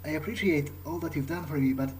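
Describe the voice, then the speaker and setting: A man improvising in character during a game playthrough, speaking in a halting way and repeating words. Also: on the high side, darkish tone. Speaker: gamer roleplaying his character. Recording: faint background noise.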